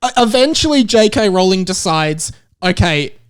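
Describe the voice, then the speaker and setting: A man's voice: high voice, lively intonation, moderate pace, clear, resonant tone. Young man joking on a comedy podcast. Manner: joking, often breaking into laughter. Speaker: podcast host. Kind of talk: casual comedic chat.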